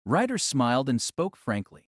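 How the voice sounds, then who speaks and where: A man's voice: mid-range voice, big pitch swings, normal speed, dry recording, studio quality. A man reading dramatic scripted story narration for an audio drama.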